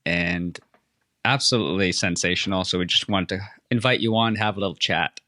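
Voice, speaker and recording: A man's voice: low voice, fairly quick, bright, forceful tone, dry recording, clean studio sound. A man hosting a podcast, chatting casually and encouragingly with his guest in an upbeat interview. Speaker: podcast host.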